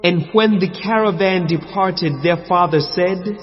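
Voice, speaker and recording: A man with an American accent reading scripture translation in a solemn, measured, declamatory way with emphatic phrases. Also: sits high. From USA. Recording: long reverb, slightly muffled sound.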